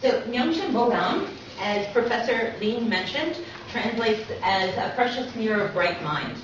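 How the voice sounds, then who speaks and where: A woman's voice: on the high side, lively intonation, a little slow. A woman giving an explanatory public lecture as co-presenter, checking in with listeners as she goes. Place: echoey indoor lecture room. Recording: very echoey room, distant mic, muffled, noisy.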